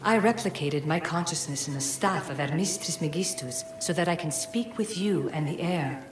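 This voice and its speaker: An actress playing an ancient godlike being in a video game, speaking in a serene, formal, oracular and cryptic way. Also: female voice, sits low, normal speed, natural voice. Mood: cryptic, calm. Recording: echoing voice with music behind.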